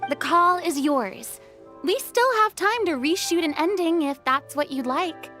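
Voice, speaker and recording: A cheerful, take-charge young woman from a video game. The voice is very high and swings in a lively way, supportive and decisive, with music clearly underneath. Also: a little slow, heavily acted. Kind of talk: video game cutscene acting.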